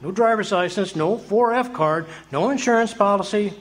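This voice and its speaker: A man playing a gentle, very old but childlike angel in a live radio drama. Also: sits high, normal speed. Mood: gently explaining. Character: gentle, childlike, nearly 300-year-old male angel, earnest and cheery. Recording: live stage recording, faint room noise.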